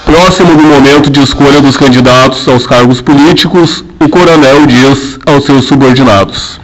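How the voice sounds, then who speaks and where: A man narrating a radio drama in a formal, measured storytelling manner. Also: mid-range voice, a little hiss or hum, rough recording.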